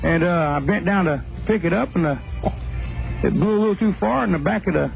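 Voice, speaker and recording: A man with a gravelly voice casually telling an anecdote as a caller on a radio show. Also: sits high, brisk, soft background music, poor audio. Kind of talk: casual storytelling.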